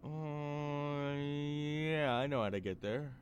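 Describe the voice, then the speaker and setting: A man playing a goofy, expressive young buddy in an audio roleplay, talking in a casual conversational way. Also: a little high, slow, very noisy. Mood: apprehensive, puzzled. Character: goofy, exuberant young male buddy driving his car.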